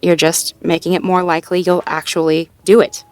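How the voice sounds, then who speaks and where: Supportive, playful girlfriend, played by a woman, lower-pitched voice. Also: level tone, fairly quick, clean tone, soft background music, lightly acted. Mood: encouraging. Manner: gentle, encouraging, lightly teasing, natural hesitations and chuckles. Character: supportive, playful girlfriend helping partner problem-solve. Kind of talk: intimate roleplay audio, one-sided conversation.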